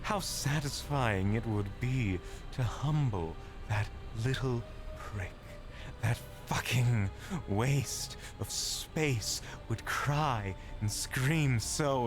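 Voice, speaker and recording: Man acting a slow, sadistic male tempter. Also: mid-range voice, animated, voice pushed up. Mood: sadistic goading. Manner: slow, contemptuous goading. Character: sadistic, taunting male tempter urging violence. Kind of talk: audio drama acting. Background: background music.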